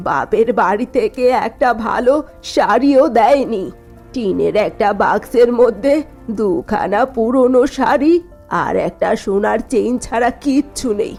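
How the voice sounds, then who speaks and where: A woman acts out a bitter middle-aged mother-in-law from a cartoon family drama. She is domineering and sneers through her complaints in a high-pitched voice, over faint music. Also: animated, a little slow, gravelly, a little mellow. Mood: scornful. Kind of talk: cartoon voice acting.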